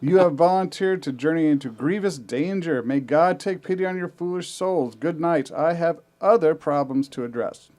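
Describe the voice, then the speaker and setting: A man's voice: a little high, normal speed, hardly any pauses, a little mellow, natural voice. A man reading the part of a stern male commander who briefs scouts by radio, giving formal orders with dry sarcasm. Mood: commanding. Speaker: podcast co-host.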